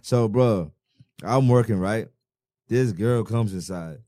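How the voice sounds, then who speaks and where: A young man from the Bahamas with a Bahamian accent and a low-pitched voice, talking casually as a podcast guest. He speaks in loose slang and leans on "bro" and "you know what I'm saying" throughout. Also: slow, warm tone. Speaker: guest musician.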